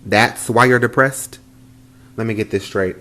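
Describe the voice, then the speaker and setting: A man's voice: lowish voice, steady tone, unhurried, bright, forceful tone. A man playing a stern, foul-mouthed mother and grandmother in a comedy skit, scolding bluntly. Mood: lecturing. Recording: roomy echo.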